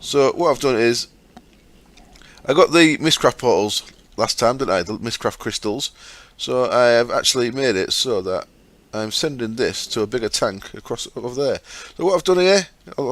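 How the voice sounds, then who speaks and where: A man hosting a gaming channel, explaining his setup step by step in a chatty way with fillers, his throat sore and croaky. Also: mid-range voice, lively intonation, moderate pace, fairly bright tone, dry recording. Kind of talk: casual game commentary.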